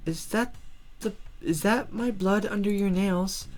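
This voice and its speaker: A woman voicing an injured young woman in a visual novel, tender and teasing, speaking softly and haltingly. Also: mid-range voice, soft background music, lightly acted.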